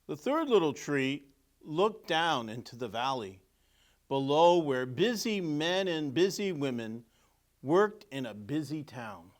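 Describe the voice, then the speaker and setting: Man, storybook read-aloud, higher-set voice, unhurried deliberate pacing, emphatic phrasing, lively intonation. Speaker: clergyman reading a children's story. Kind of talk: expressive storybook read-aloud with asides on pictures.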